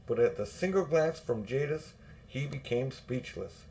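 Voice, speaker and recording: Older male audiobook narrator, mid-range pitch, reading children's fiction. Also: lively intonation, a little slow, dark tone.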